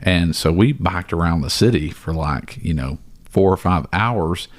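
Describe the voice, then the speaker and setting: A man's voice: sits low, lively intonation, unhurried, no echo, clean studio sound. A man co-hosting a casual porch chat, telling rambling stories and laughing often. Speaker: podcast co-host.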